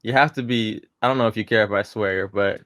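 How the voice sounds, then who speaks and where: A man's voice: on the low side, fairly even intonation, a little fast, a bit bright, dry recording, studio quality. A man in his twenties, a podcast guest chatting casually, rambling a little with restarts and fillers. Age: 20s.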